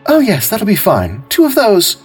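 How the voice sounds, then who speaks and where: Man putting on a falsely bright young wife. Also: wildly swooping pitch, moderate pace, breathy edge, soft background music, exaggerated voice. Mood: forced cheer. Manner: high, forced bright tone.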